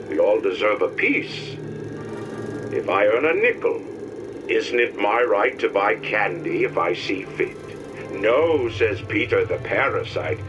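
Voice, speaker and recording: An actor playing a pompous industrialist ruler, delivering theatrical, oratorical propaganda with emphatic grandeur. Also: male voice, lowish voice, highs cut off. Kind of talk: propaganda address, theatrical game voice acting.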